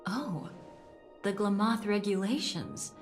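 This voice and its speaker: An actress playing a calm, composed comrade in a video game story, speaking in a cool, measured, matter-of-fact way with quiet care. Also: female voice, medium pitch. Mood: cool, thoughtful. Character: calm, composed, caring female comrade, probing gently. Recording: music behind.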